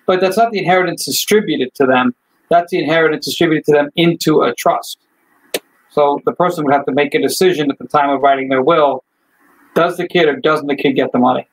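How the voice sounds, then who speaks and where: Man from Chile, estate attorney, somewhat high voice, frank, thinking aloud, video-call sound. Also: a little fast, poor audio. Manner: thinks aloud, lots of "like" and "you know", frank. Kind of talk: casual explanatory chat.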